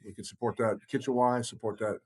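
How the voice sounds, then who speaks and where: A man co-hosting a podcast, chatting casually in a rambling, halting way, often circling back on his words. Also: mid-range voice, steady tone, darkish tone, slightly rough. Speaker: podcast co-host, heathen group leader.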